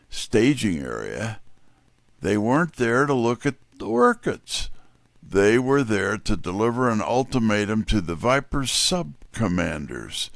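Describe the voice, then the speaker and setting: A man narrating a scripted mystery story in a deliberate, dramatic manner. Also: on the low side, gravelly, dry recording.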